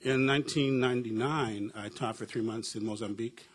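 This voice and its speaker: A middle-aged man from the United States speaks with a husky, slightly breathy voice at a pitch that sits in the ordinary middle range. He tells personal stories on a discussion panel in a reflective, wry way and leans on frequent uh and um fillers. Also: fairly even intonation, fairly quick. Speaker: panelist, professor.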